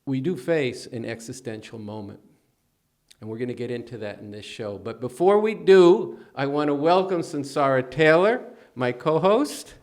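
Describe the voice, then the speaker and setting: A man's voice: medium pitch, expressive, normal speed, darkish tone. A man hosting a political talk show, speaking earnestly and emphatically. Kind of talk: political commentary.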